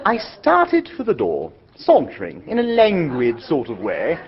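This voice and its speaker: A man playing a breezy, flustered young gentleman who narrates his own story in a chatty, jaunty manner. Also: on the high side, wildly swooping pitch, measured pace, some chatter behind, heavily acted. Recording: dull lo-fi recording.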